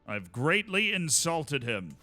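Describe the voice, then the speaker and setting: A man's voice: on the high side, very animated, takes it slowly, bright, forceful tone, faint music behind. A man streaming a strategy game, chatting casually with wry asides to himself.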